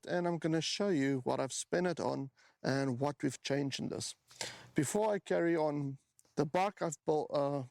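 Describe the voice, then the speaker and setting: Gravelly South African man in his forties. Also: fairly high pitch, a little mellow, no echo. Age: 40s. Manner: chatty, with frequent ums and restarts. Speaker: motorbike owner showing his build. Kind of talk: casual walkaround talk to camera.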